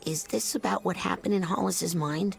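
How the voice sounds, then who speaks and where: A woman voicing an earnest, eager young boy psychic agent in a video game, hesitant and excitable. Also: lowish voice, normal speed, creaky, music in the background. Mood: uneasy.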